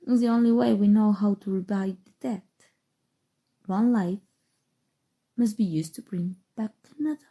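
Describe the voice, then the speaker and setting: A woman playing a calm, seductive, obsessive evil sorcerer in an intimate, coaxing ASMR roleplay, with dramatic pauses. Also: mid-range voice, slightly rough. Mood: smug, explaining. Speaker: voice actor. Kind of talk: ASMR roleplay, scripted acting.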